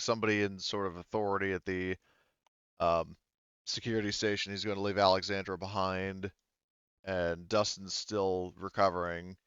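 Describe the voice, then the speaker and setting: A man running a tabletop roleplaying game as game master, explaining things haltingly and thinking aloud. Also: low-pitched, a bit bright, clean tone, no room sound, highs cut off.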